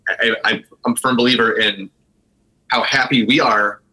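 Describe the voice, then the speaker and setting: Bright-voiced, enthusiastic man. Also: mid-range voice, steady tone, normal speed, low-quality recording. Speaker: guest, brewery co-owner. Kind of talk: casual conversational interview.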